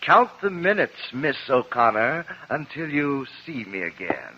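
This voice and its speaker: Cruel mocking villain, very animated pitch, sarcastic, old radio drama, dull recording. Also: male voice, measured pace, slight background noise. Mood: domineering. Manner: taunting, sarcastic, oily. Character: cruel, mocking landlord and tormenting husband, a leering villain. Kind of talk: 1950s radio drama acting.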